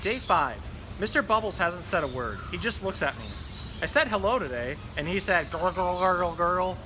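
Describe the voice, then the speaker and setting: A fussy, put-upon pet goldfish read by a man tells his diary in a storybook style. The voice sits a little high, with dry grumbling. Clear ambient noise runs behind it. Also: expressive, moderate pace. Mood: wary, disgusted. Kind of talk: children's storybook read-aloud.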